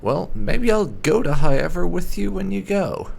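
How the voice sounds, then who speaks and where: A man's voice: high-pitched, very animated, moderate pace, creaky, darkish tone, a little hiss or hum. A man hosting a roleplay game playthrough, speaking casually as he voices dialogue choices and adds conversational asides. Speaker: gaming host.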